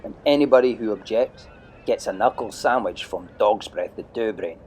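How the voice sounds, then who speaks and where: A man's voice: on the low side, moderate pace, a little mellow, slight background noise, natural voice. A man voicing a bossy, bullying Viking boy leader in a storybook audio play, giving menacing orders.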